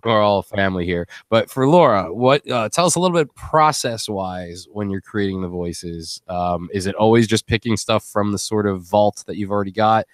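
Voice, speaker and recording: A man's voice: on the low side, moderate pace, hardly any pauses, no echo. Rambling, jokey male host, dry close sound. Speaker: live stream host. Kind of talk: casual interview chat.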